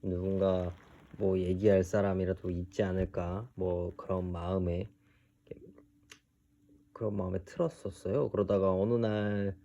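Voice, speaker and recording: Male, early 30s, low dark voice, halting unscripted vlog delivery, dull lo-fi sound. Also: level tone, slight background noise. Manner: halting, hesitant, trailing into pauses. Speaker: video creator telling his own story. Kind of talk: unscripted personal monologue to camera.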